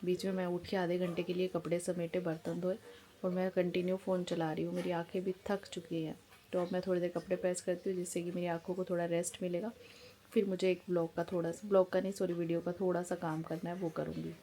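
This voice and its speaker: A woman's voice: fairly low pitch, little pitch movement, fast, warm tone, slight background noise. A woman in her forties from India, chatting frankly and casually to camera at home as a family vlogger. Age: 40s. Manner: frank, chatty, talks plainly and openly.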